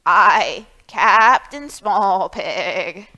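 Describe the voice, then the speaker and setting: A woman voicing a kindly old male goat in a storybook, gentle and indulgent in manner. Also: mid-range voice, lively intonation, drawn-out words, highs cut off, heavily acted.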